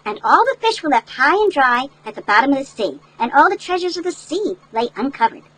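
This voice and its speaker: An older woman reading a picture book aloud to children in an animated, folksy way, with chatty asides that explain things. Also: high voice, a little fast, low-quality recording. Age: older, great-grandmother. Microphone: headset.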